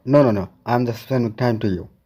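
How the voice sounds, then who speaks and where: A man, speaking simple, halting English with many short okays. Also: mid-range voice, very noisy. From Bangladesh. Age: 20s. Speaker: English learner. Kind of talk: casual conversation practice.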